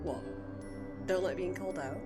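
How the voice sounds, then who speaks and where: A woman's voice: fairly low pitch, normal speed, music in the background, close to an everyday voice. A woman playing a hurt, defiant young companion in an audio drama, confronting someone with bitter, emotional accusations. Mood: hurt, accusing.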